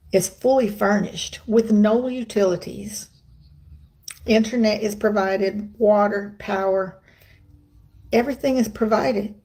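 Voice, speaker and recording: A woman's voice: medium pitch, vocal fry, mellow, poor audio. A middle-aged woman from the United States talking casually to her viewers, chatty and emphatic, with rambling asides. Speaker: vlogger. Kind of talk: casual vlog monologue.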